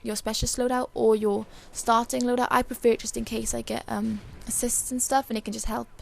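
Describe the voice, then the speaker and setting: A woman with a dry, hoarse voice giving casual, chatty and rambling commentary over a video game. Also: on the high side, level tone, a little fast. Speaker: gaming video creator.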